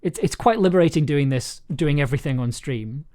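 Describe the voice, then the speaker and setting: A man's voice: fairly high pitch, fairly quick, a little mellow. A man hosting a casual livestream chat, talking in an effusive, rambling, enthusiastic way. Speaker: host, audio drama writer and producer. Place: remote online call on a livestream.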